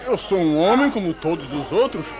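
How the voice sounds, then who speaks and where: An actor playing a frightened, anguished disciple with an emotional, pleading manner in a religious radio drama. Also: male voice, high-pitched, animated, fairly quick, highs cut off, crowd noise. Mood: evasive, nervous. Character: frightened disciple, anguished, denying his master.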